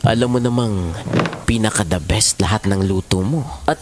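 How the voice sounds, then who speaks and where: A man's voice: on the low side, normal speed, slight background noise, natural voice. A man playing an exasperated but loving widowed father in an audio drama, earnest, with a Filipino accent. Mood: affectionate. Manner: earnest, mixes English into his talk. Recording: music bed under the voice.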